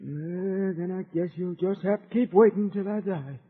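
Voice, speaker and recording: Husky, high-voiced stubborn old prospector. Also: male voice, normal speed. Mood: defiant. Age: older. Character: stubborn, weakened old male treasure hunter held captive. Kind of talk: old-time Western radio serial acting. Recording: tinny old radio, long hollow reverb.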